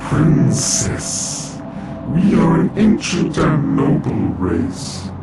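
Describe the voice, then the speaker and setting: A man playing a cold, calculating lord of an ancient race in a fantasy game, speaking formally with a gravelly voice. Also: a little high, very animated, very slow, heavily acted. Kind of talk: fantasy video game cutscene acting. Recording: loud music under the voice.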